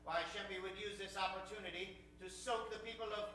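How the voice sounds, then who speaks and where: A man's voice: sits high, steady tone, brisk, bright, forceful tone. A man announcing and narrating in a theatrical, declamatory old-time radio style, with melodramatic delivery. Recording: very echoey stage, distant mic, music behind.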